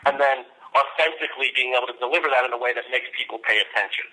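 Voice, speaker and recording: A man's voice: mid-range voice, rapid-fire, bright, forceful tone, poor audio. A man on a phone conference line coaching someone about business in a long-winded, emphatic, persuasive way. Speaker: media marketing consultant.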